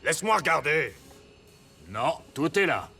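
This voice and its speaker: Gruff, swooping cartoon construction worker. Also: male voice, a little high, big pitch swings, measured pace, bright, forceful tone, heavily acted. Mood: puzzled. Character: gruff, stubborn male construction worker toy. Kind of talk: French children's cartoon dubbing. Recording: music behind the voice.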